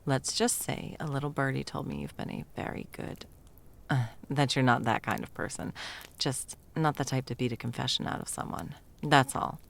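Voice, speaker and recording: A woman's voice: very deep voice, steady tone, moderate pace, vocal fry, exaggerated voice. A woman playing a bumbling, flustered female Christmas elf in a whimsical comedic audio roleplay, evasive and sarcastic, rambling and backtracking as she goes.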